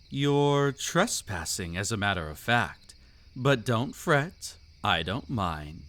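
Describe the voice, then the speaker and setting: A man's voice: lowish voice, fairly bright tone. A man voicing a suave, predatory old vampire count in a scripted roleplay audio, with an aristocratic, silky, teasing and theatrical delivery.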